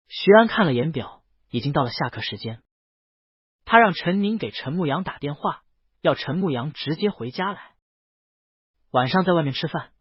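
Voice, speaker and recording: A man narrates a romance story in a fairly high, husky voice with lively swings of pitch. The recording is close and dull, as in a lo-fi audiobook. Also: dry recording. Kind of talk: scripted narration of a romance novel. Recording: dull, lo-fi, close dry sound.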